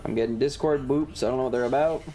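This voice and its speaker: A man's voice: medium pitch, steady tone, quick pace, mellow. A man casually commentating a video game on a livestream and chatting with viewers, sweary and dramatically exasperated. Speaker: streamer. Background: video game music.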